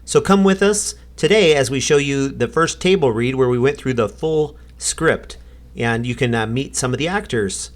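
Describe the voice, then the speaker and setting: A man's voice: medium pitch, moderate pace. A middle-aged American man hosting a vlog, talking in a casual narrating and chatting style. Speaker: vlog host.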